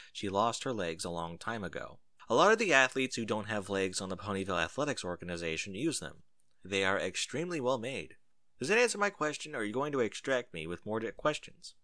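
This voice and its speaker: An earnest storybook pony played by a man who knows it all and explains with emphasis. The voice is bright and dry, with lively swings in pitch. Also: fairly quick, no echo. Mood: exasperated. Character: earnest, know-it-all pony friend of an athlete.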